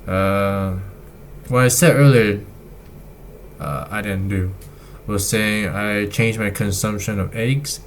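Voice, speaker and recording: A young man giving a casual health update as a vlogger, talking in a halting way with many fillers and restarts. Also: fairly low pitch, fairly even intonation, slight background noise. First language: not Chinese.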